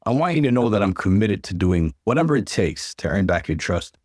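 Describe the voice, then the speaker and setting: A man's voice: on the low side, sing-song, fairly quick, darkish tone, dry recording, clean studio sound. A man voicing a remorseful adult brother just out of prison in a read-aloud story, with an earnest, apologetic manner.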